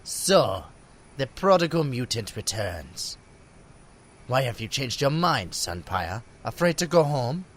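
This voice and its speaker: Guy acting a sly taunting mutant, slow talker, swingy pitch. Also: sing-song, fairly bright tone, a little hiss or hum. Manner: deliberate, needling. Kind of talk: superhero audio drama acting.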